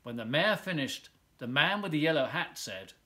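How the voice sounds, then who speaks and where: A man reading a children's picture book aloud as a volunteer storyteller, expressively, with drawn-out words and dramatic pauses. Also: fairly high pitch, lively intonation, bright, forceful tone.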